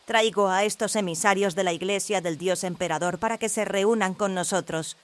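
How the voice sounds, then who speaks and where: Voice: medium pitch, normal speed, pitched above the performer's own voice, natural voice. A performer voicing a solemn, ceremonious clone leader who declaims grandly in an audio drama. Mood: triumphant.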